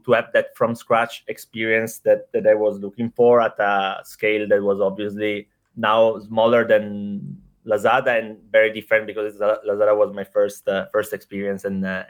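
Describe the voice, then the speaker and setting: A man's voice: fairly low pitch, a bit bright, clear, resonant tone. A man in his thirties from Italy with an Italian accent, talking through his career as an interview guest with many fillers and restarts. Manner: hesitant, frequent fillers and repeated words. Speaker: startup executive guest. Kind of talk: casual interview conversation.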